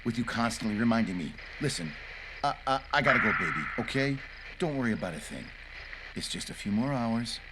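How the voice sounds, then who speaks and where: A man's voice: mid-range voice, animated, brisk, heavy background noise, close to an everyday voice. A man playing a weary, grumbling ranger in a video game, muttering in a naturalistic way. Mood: weary, soothing.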